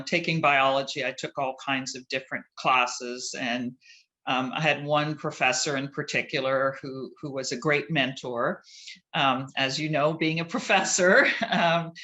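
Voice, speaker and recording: A man's voice: fairly high pitch, bright, forceful tone. A man from Canada answering interview questions about his career, thoughtful and easygoing, with frequent fillers and restarts. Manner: thoughtful, many fillers and restarts, laughs lightly. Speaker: interview guest, chief IP counsel.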